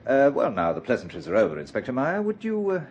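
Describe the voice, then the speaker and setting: An actor playing a worried, impatient English gentleman with a British accent in a radio drama, polite but insistent. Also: fairly high pitch, wildly swooping pitch, fast talker, creaky, lo-fi sound, a little hiss or hum, exaggerated voice. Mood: dry, guarded.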